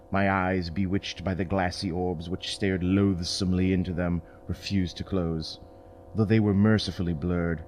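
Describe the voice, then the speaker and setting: Low-voiced man narrating gothic horror. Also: darkish tone. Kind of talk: dramatic scripted horror narration. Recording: clear background ambience noise.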